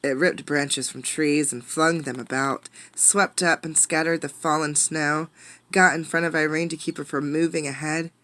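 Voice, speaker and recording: A woman reading a storybook aloud as a teacher, expressively, pausing to ask listeners questions. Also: sits low, steady tone, a bit bright. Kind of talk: interactive storybook read-aloud.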